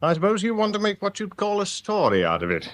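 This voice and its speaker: A man's voice: sits high, huge pitch swings, quick pace, a bit bright, dry recording, full character voice. A man with an English accent playing a brusque, sardonic chief inspector in a radio drama, with curt, dry questioning. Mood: dry, mocking.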